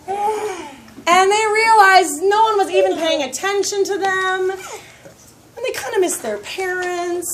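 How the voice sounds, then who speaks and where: Very high-pitched woman telling a children's story. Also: slight background noise. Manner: theatrical, prompting children to repeat and act along. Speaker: storyteller leading a children's puppet show. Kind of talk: interactive storytelling to young children.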